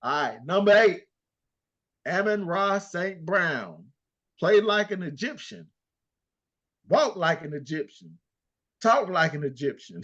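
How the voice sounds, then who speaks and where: Animated older man trash-talking sports. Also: high voice, slow pace, long pauses, lo-fi sound. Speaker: co-host. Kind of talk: casual sports talk.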